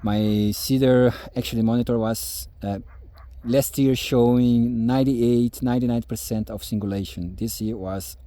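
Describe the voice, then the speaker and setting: A man's voice: medium pitch, fairly even intonation, moderate pace, dark tone, no room sound. A man with a Brazilian Portuguese accent, speaking hesitantly with many fillers in a casual outdoor farm vlog. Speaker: farmer vlogger.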